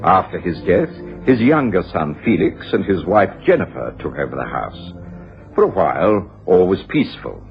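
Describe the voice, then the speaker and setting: A mild, sceptical gentleman chronicler in a radio play. His voice is low and moves in lively swings, with formal, measured phrasing. The sound is a dull old radio broadcast. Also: gravelly, full character voice. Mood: measured reminiscence. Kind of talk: radio drama acting, narration and dialogue. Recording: dull 1980s radio broadcast, faint music.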